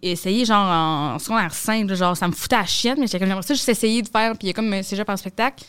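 A woman's voice: medium pitch, clear, resonant tone, no room sound, studio quality. A young woman comedian with a Québécois accent, telling stories in a casual, slangy podcast chat. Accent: Québécois French. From Quebec. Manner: rapid, slangy, animated storytelling. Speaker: comedian guest.

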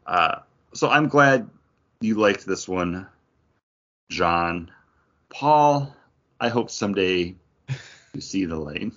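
A man's voice: deep voice, lively intonation, takes it slowly, long pauses, a bit bright, dull audio. A man hosting a podcast and moderating a casual chat about comic books. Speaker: podcast host moderating discussion.

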